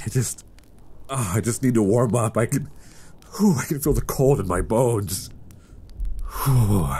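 Man acting a halting, slow-talking shivering survivor. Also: fairly low pitch, exaggerated voice. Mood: shivering relief. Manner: halting, stammering, breathy sighs. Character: shivering, defensive man, secretly an impostor creature. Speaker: voice actor. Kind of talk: horror ASMR audio roleplay. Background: music.

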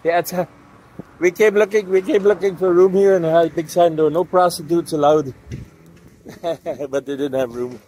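A middle-aged man with a slightly high voice narrates a casual travel vlog. He talks straight to his viewers, pointing out sights as he goes. The recording is made outdoors on a handheld camera, with faint street noise. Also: steady tone, normal speed, warm tone, rough recording. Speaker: travel vlogger. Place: outdoors on city streets and parking lots. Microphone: phone or camera at arm's length.

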